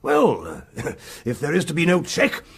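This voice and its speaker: Husky, lordly old earl. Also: male voice, fairly high pitch, wildly swooping pitch, normal speed, heavily acted. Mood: gruff, puzzled. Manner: brusque, lordly, huffing. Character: commanding older English earl, gruff but generous. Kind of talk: period audio drama acting.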